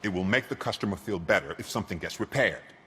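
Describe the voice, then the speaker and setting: An actor playing a shifty, grumbling car repair shop owner in a video game, raspy, wry and defensive. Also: male voice, sits low, expressive, fast, bright, forceful tone, heavily acted. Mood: irritated, defensive. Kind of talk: video game cutscene acting. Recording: long hall-like reverb.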